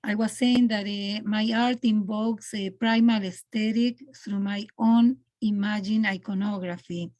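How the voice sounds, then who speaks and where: A woman from Argentina with an Argentinian Spanish accent, presenting her art on a video call, halting and searching for words. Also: mid-range voice, fairly even intonation, darkish tone. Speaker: presenting artist. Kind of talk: online artist presentation.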